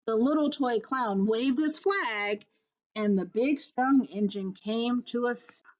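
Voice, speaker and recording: A woman teacher reading a children's storybook aloud expressively, pausing to address her young listeners. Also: a little high, highs cut off.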